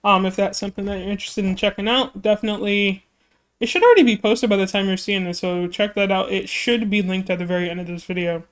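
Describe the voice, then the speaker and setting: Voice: medium pitch, quick pace. A streamer reacting to music videos in casual chat, rambling with many fillers, while their voice keeps catching from throat trouble. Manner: rambling and chatty, many fillers and self-interruptions.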